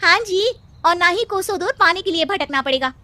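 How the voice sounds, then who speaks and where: A woman's voice: very high-pitched, animated, a little fast, bright, forceful tone, dry recording, voice pushed up, exaggerated voice. A woman voicing an emphatic, supportive villager who rallies the neighbours in a cartoon story. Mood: rousing. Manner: emphatic, rallying.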